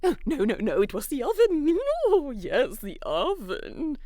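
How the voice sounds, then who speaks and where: A woman's voice: sits high, very animated, a little slow, warm tone, no echo, clean studio sound, voice pushed up. Woman putting on a dotty, drawling old lady. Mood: flustered. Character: dotty old woman, sweetly sly and forgetful.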